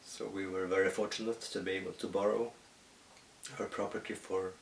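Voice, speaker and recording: A Swedish man, speaking English as a second language, who talks about his music in a halting, thoughtful way with many pauses. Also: sits low, level tone, creaky. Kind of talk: reflective solo monologue. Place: at home in the countryside. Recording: echoey room, distant mic, faint hiss.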